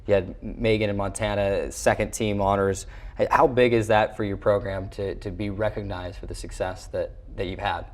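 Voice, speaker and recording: A man's voice: lowish voice, steady tone, moderate pace. A man hosting a sports show, interviewing in a conversational style.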